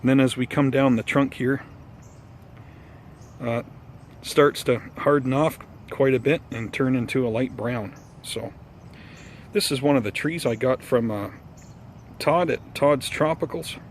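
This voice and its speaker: A man chats casually to viewers as the host of an outdoor gardening vlog. His voice sits at a medium pitch, and he talks in an unhurried, slightly halting, informal way. Faint ambient and vehicle noise runs behind him.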